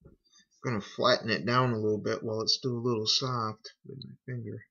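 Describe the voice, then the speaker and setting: A man giving a casual how-to narration in an unhurried, folksy way, talking through each step as a hobbyist. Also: on the low side, little pitch movement, gravelly, sharp tone, slightly rough. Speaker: hobbyist demonstrating a repair. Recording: dull, lo-fi home recording.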